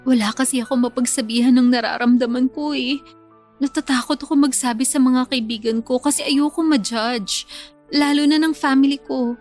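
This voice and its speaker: An actress playing a heartbroken, clinging young bride-to-be who confides plaintively and tearfully in a Filipino radio drama. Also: female voice, high voice, steady tone, moderate pace, darkish tone, faint music behind, lightly acted. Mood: tearful confiding.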